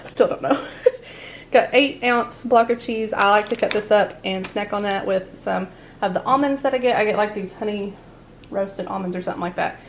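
A chatty woman talks through her groceries at the dining table, laughing easily and drifting into asides. Her pitch sits in a middle range. A faint room hum is heard behind her. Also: moderate pace. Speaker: family vlogger. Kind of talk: casual grocery haul chat. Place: home dining room, indoors.

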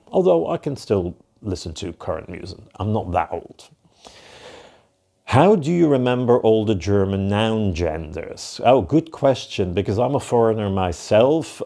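Low-voiced Flemish man chatting to camera. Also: warm tone. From Belgium (Flanders). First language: Dutch. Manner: chatty, filler-heavy, repeats "to be quite honest". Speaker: van life vlogger. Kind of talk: casual Q&A to camera. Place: cosy living room, indoors. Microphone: lapel mic.

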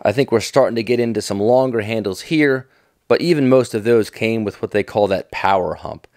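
A man's voice: fairly low pitch, fairly quick, a little mellow, no room sound, studio quality. A middle-aged American man giving casual, off-the-cuff commentary in a folksy, enthusiastic, nostalgic manner. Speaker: fishing tackle vlogger.

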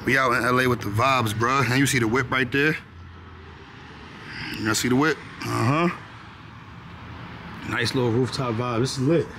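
A man's voice: mid-range voice, fairly even intonation, moderate pace, long pauses. A young man in his twenties giving a casual, slangy walkthrough of a rental apartment, repeating his phrases and often asking whether listeners follow. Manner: laid-back, repeats phrases, keeps asking "you know what I'm saying". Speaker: vlogger giving an apartment tour. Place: rental apartment, indoors then rooftop. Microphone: handheld camera held close. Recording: echoey rooms with clear background noise.

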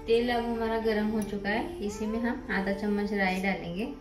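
A woman hosting a cooking channel, explaining each recipe step in a plain, steady instructive voice-over. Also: medium pitch, moderate pace, echoey room, over music.

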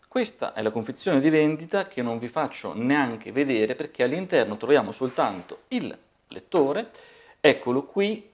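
A man from Italy hosting a hands-on product review, explaining features in an informal, chatty way. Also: medium pitch. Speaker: tech reviewer, video host.

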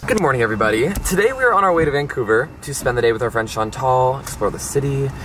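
A man's voice: mid-range voice, lively intonation, quick pace, fairly bright tone, slight background noise. A young man chatting eagerly to a handheld camera on a travel vlog, outdoors on city streets and in a car. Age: young adult. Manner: upbeat, enthusiastic, chatty with many fillers. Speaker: vlogger. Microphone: handheld camera at arm's length.

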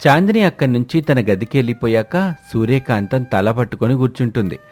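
A man narrates a scripted tale in the manner of a storyteller. His voice sits at an ordinary middle pitch for a male speaker. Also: lively intonation, a little fast, darkish tone, faint music behind.

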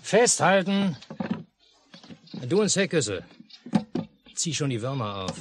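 A gruff, bossy lakeside father who barks terse orders in an unhurried, swooping voice. It is 1950s radio drama, with clear noise on the old mono recording. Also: on the high side, big pitch swings. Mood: commanding. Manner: terse, curt commands. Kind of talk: 1950s German radio play acting.

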